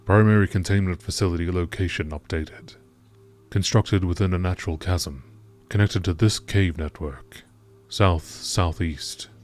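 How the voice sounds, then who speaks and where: A man with a low voice narrates in a nature documentary style. His delivery is measured and informative, reading scripted entries over faint music. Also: a little mellow.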